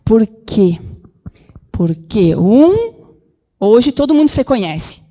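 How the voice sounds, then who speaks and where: A woman giving an animated public lecture with slides in a council chamber, acting out the voices she quotes. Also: a little high, slow pace, lo-fi sound. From Brazil. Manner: animated, acts out quoted voices, many fillers. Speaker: guest lecturer.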